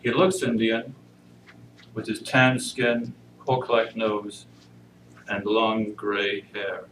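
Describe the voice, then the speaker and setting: A man introducing and reading poetry at a church service, measured and solemn. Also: fairly low pitch, little pitch movement, a bit bright. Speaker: congregation member reading inmates' poetry. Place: church service, indoor room. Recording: roomy, noisy pickup far from mic.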